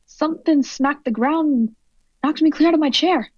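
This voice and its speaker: A woman's voice: high voice, moderate pace, darkish tone, no room sound, natural voice. A woman playing a rattled, talkative rural farm owner with a folksy, rambling manner in a radio play. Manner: folksy rustic drawl, rambling. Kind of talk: radio drama acting. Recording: dull, dry lo-fi audio.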